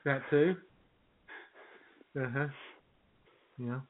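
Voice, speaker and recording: A man's voice: medium pitch, very slow. A man co-hosting a comedy radio show with wry, casual banter, often repeating his phrases. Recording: tinny, narrow phone-like sound.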